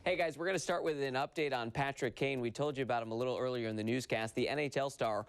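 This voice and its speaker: Fast-talking American male news reporter. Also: mid-range voice, clear, resonant tone. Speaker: TV digital desk reporter. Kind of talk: brisk news update.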